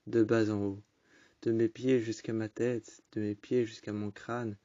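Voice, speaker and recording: A man's voice: mid-range voice, darkish tone, no echo, lo-fi sound. Man reciting solemn poetry, close and dry. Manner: repetitive incantatory phrasing, solemn and melancholic. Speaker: poetry storyteller. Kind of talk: dramatic spoken-word poetry recitation.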